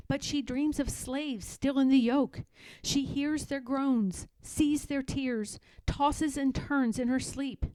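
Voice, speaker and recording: A woman reading a children's storybook aloud in a measured, expressive, deliberate storytelling style. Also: fairly high pitch, dry recording, low-quality recording. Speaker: storybook reader. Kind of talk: expressive scripted read-aloud narration.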